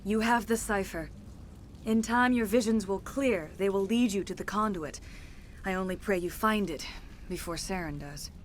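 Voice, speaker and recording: An actress playing a serene, centuries-old alien mystic in a video game, speaking in a measured, formal, reverent way. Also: female voice, medium pitch, slight background noise, natural voice. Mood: gentle, earnest. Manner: measured, formal, solemn delivery. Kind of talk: dramatic video game dialogue.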